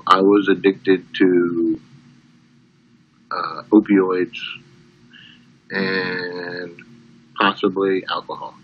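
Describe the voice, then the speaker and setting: A man's voice: low voice, level tone, extremely slow, pauses often. An American man in his early fifties plainly introducing himself in an interview. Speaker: interviewee, father. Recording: dull, lo-fi sound.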